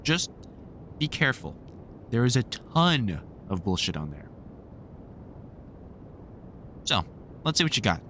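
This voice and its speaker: A man acts out an older male vampire hunter in a conversational audio drama. His mid-range voice rises and falls freely as he reassures and cautions like a protective, wry mentor who swears casually. A faint low rumble sits behind the voice. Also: very animated, moderate pace, sharp tone. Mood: easygoing, approving. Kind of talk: one-sided audio roleplay, conversational comfort scene.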